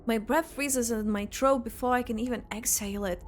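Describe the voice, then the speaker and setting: A woman streamer reacting live to a game and reading its text aloud in an expressive, exclamatory way. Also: a little high, fairly quick. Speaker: gaming streamer. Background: game music.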